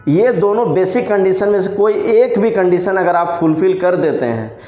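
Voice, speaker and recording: Indian man, commerce teacher, high voice, patient repetitive explaining, roomy echo. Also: dark tone, soft background music. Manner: explains slowly, repeats points, frequent "theek hai" check-ins. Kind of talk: classroom lecture on tax rules. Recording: roomy echoey room sound.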